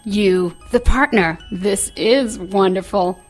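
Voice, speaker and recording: An actress playing a gloating, murderous, menacing villain in an audio drama. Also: female voice, medium pitch, takes it slowly, faint music behind.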